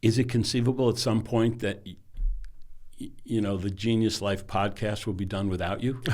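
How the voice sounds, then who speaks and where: A man who runs a company, a podcast guest who talks in a measured, thoughtful way, often trailing off softly. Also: fairly low pitch, fairly even intonation. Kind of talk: casual interview conversation.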